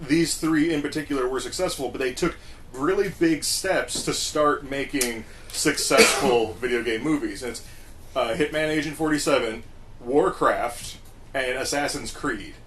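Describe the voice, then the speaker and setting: A man's voice: medium pitch, normal speed, fairly bright tone. A man co-hosting a casual discussion, explaining things at length in a storytelling way. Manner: explanatory, storytelling, acts out short quotes. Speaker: podcast co-host. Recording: faint background noise.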